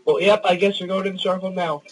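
A young man co-playing a console game with his friend, quick and directing, exclaiming at finds, heard from off-mic in the room. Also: high voice, fairly even intonation, breathy edge, distant mic, rough recording. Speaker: co-player. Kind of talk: casual gaming chat.

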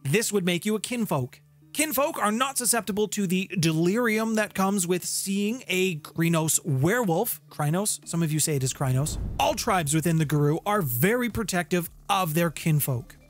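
A man's voice: sits high, expressive, moderate pace. A man in his thirties presenting an explainer to camera in an emphatic way, stretching key words for weight, on a close studio microphone in a home room. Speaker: host of a tabletop game lore channel. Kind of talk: explainer to camera, semi-scripted narration. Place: small home music room, indoors. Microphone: close studio condenser microphone with shield. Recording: music playing under the voice. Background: background music.

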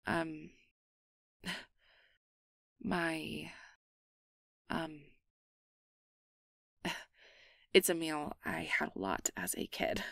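A woman acts out a flustered young changeling woman in an intimate ASMR roleplay. Her voice sits fairly low with plenty of gravelly fry, and she speaks slowly and close in a dry space. She stammers and hedges, guarded on the surface and tender underneath. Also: lively intonation, a bit bright, no room sound, exaggerated voice. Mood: bashful. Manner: hesitant, flustered, stammering, downplaying.

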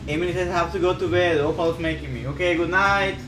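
A man's voice: a little high, fairly even intonation, fast. A young adult man hosting a livestream, chatting casually and upbeat as he talks directly to viewers. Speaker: livestream host reading viewer comments. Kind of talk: casual excited stream chat. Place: indoor room, on webcam. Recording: echoey room with music behind.

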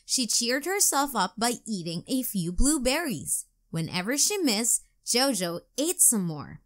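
A woman narrating a children's storybook as a read-aloud, in a deliberate, clearly enunciated storytelling manner. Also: on the high side, sing-song.

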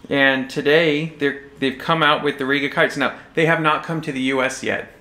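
An American man in his forties from Texas giving a casual, halting but enthusiastic product overview to camera. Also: mid-range voice, bright, forceful tone. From Texas, United States. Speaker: audio shop presenter. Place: small indoor room, standing behind display table. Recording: roomy echo, faint music.

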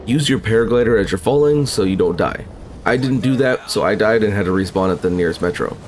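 A man's voice: on the low side, lively intonation, fairly quick, a little hiss or hum. A man narrating a scripted game walkthrough in a matter-of-fact, instructional way.

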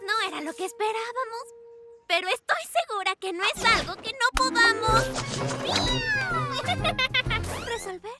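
Very high, perky little girl. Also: takes it slowly, pauses often, full character voice. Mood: determined optimism. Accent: Latin American Spanish. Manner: perky, eager, expressive. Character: upbeat, optimistic young girl baker, female. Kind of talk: animated children's cartoon dub. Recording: music playing behind the voice.

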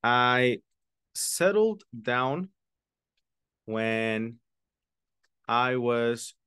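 A man teaches English over a video call, speaking slowly and patiently and repeating each word for his class. His voice sits at a middle pitch, and the sound is dry and close to the mic. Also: animated, a bit bright, clear, resonant tone, no room sound. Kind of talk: online English lesson, explaining in English and Spanish. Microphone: video call webcam.

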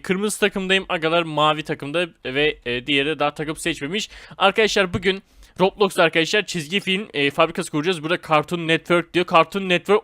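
A man giving casual, excitable gameplay commentary in informal slang, talking straight to his viewers. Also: high voice, dry recording, studio quality. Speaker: gaming video host.

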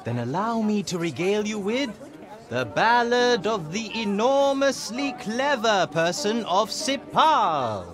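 An actor playing a florid, grandiose, playful bard in a video game, with pompous and dramatic delivery. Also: male voice, high voice, very animated, a little slow. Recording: music and outdoor crowd behind.

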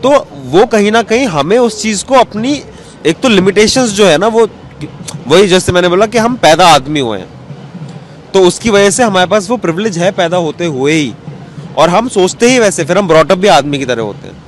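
A man's voice: high voice, lively intonation, normal speed. A man in his thirties answering conversationally as an interview guest outdoors, mixing in English words. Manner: mixes English phrases into his speech. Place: outdoor bench in an open courtyard. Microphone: handheld microphone held out by interviewer. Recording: faint outdoor urban noise.

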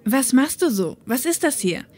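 A woman's voice: high-pitched, sing-song, moderate pace, a little mellow, slightly rough, heavily acted. A woman playing a modest, down-to-earth young policewoman in a German fan audio drama, a little awkward in manner. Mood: friendly.

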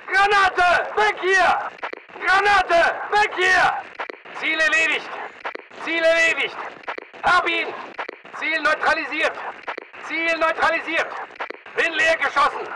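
An actor playing a tough, disciplined mercenary soldier in a video game, giving clipped military commands. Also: male voice, extremely high pitch, distant mic, heavy background noise. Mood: shouting, alarmed. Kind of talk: military battle chatter, short barked commands.

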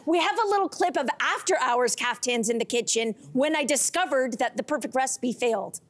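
A woman's voice: sits high, lively intonation, fairly quick. Bubbly Canadian woman on a TV talk show. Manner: bubbly, laughing, chatty. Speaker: correspondent presenting a baking segment. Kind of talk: lively studio chat. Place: bright TV studio with sofas.

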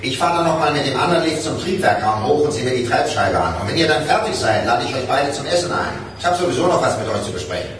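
Man playing a brisk boss, echoing from afar. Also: mid-range voice, big pitch swings, natural voice. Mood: businesslike. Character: brisk, sociable male boss of lift mechanics. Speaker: radio drama actor. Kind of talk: naturalistic 1980s German radio crime drama acting. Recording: echoey, distant, noisy.

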